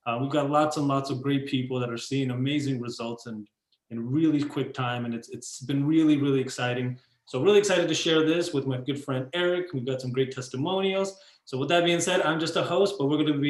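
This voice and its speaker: Enthusiastic host man, echoey room. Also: medium pitch. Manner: enthusiastic, repetitive, many fillers. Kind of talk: video call introduction. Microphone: video call.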